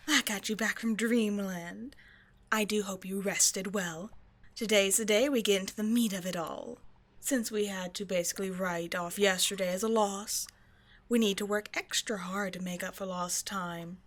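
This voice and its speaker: A woman's voice: mid-range voice, a bit bright. A woman voicing a haughty vampire scientist in an audio roleplay, with a playful, condescending, mock-sweet delivery. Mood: brisk, bossy, then playful, teasing. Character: female vampire scientist, teasing, haughty captor. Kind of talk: scripted ASMR roleplay monologue addressed to the listener.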